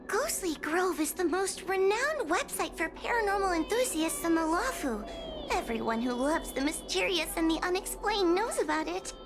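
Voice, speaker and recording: A woman's voice: very high-pitched, lively intonation, moderate pace, music in the background. A woman voicing a timid, anxious young fox girl in a video game, hesitant and nervous. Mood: earnest explaining.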